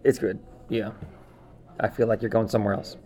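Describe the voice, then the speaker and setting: A man's voice: fairly low pitch, little pitch movement, brisk, gravelly, mellow. A man co-hosting a podcast in casual, opinionated chat, informal and enthusiastic. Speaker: podcast co-host.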